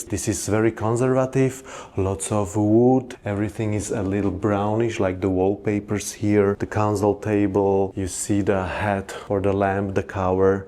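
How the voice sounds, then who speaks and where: A man's voice: fairly low pitch, mellow. A man giving an upbeat, chatty vlog tour of a luxury hotel, pointing things out as he walks through the rooms. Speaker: travel vlogger, hotel reviewer. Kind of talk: casual vlog narration and walkthrough. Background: background music.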